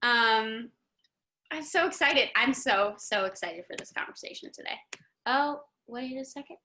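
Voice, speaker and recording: A woman hosting a live online interview in an effusive, excited, chatty manner over a video meeting. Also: on the high side, measured pace, a little hiss or hum. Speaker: host.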